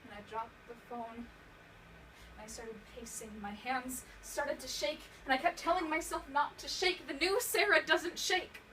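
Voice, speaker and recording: A woman acting a haunted young survivor of abuse in a dramatic stage monologue, halting and emotional, repeating words for emphasis. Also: on the high side, fairly even intonation, lightly acted. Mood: rising panic. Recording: distant mic, clearly noisy room recording.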